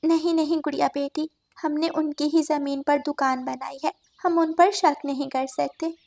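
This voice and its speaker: Gentle cartoon mother bird, played by a woman, high voice, soft, caring, cautious. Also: fairly even intonation, moderate pace, gravelly. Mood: soothing, worried. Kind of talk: Hindi animated moral-story dialogue.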